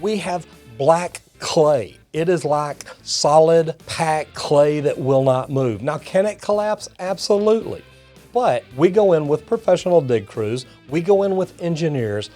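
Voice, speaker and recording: A man's voice: a little high, animated, unhurried, mellow, soft background music. A middle-aged or older man with a Texan accent, a plumber hosting a video, reading comments aloud and reacting in a folksy way. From Texas, United States. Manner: folksy, addresses viewers as y'all and guys. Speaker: master plumber and video host.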